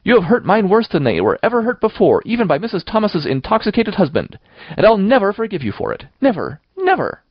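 Storybook role: man playing passionate, wounded young girl, high-pitched, vehement, indignant, wildly animated. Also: brisk, dry recording, dull audio, exaggerated voice. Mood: furious, hurt. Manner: vehement, indignant, emphatic repetition. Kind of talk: audiobook character voice.

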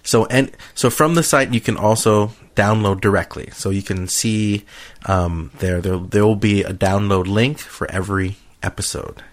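A man's voice: on the low side, normal speed. A man co-hosting a podcast in casual, unscripted chat, with a joking manner. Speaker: podcast co-host.

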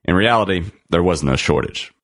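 A man reading a scripted article aloud as a narrator, in a measured, deliberate and formal manner with emphatic phrasing. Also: deep voice, expressive, a bit bright, dry recording, clean studio sound.

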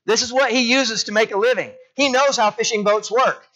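A man's voice: very high-pitched, brisk, sharp tone. A man preaching a sermon as a pastor, telling personal stories and asking the congregation rhetorical questions. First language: English. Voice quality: conversational storytelling with rhetorical questions. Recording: dull lo-fi recording.

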